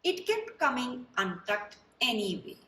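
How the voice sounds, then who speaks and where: A woman as a lively, kindly storybook giant. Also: a little high, unhurried, full character voice. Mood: cheerful, kind. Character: kindly, cheerful, proud male giant in a storybook. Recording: roomy, distant mic, faint background noise.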